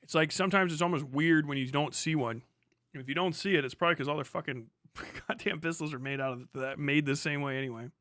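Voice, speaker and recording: A man's voice: a little high, quick pace, a bit bright, no room sound, clean studio sound. A man hosting a livestream with casual, profane, blunt and sarcastic commentary. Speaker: livestream host.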